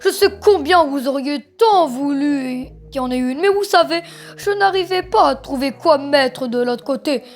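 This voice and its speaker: A woman's voice: high voice, expressive, normal speed. A woman voicing a theatrical, flustered cartoon ringmaster with a showy, grandiose way of announcing in a fan dub. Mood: apologetic, showy.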